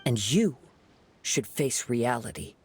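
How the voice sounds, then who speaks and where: A man voicing a perceptive young wandering samurai in a dramatic video game, measured and eloquent. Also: medium pitch, lively intonation, slight background noise. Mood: reproachful, firm. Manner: measured, eloquent, gently reproachful.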